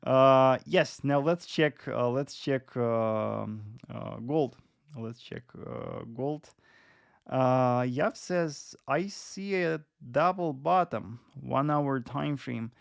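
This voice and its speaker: A man in his late twenties hosting a live stream and explaining market analysis in a deliberate manner, often repeating phrases. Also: mid-range voice, creaky, clear, resonant tone, no room sound, clean studio sound.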